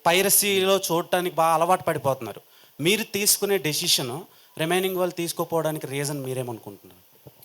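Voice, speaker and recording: A man with a fairly high voice speaks as a reporter. He phrases his question haltingly and drops in English words. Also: fairly bright tone. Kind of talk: press question.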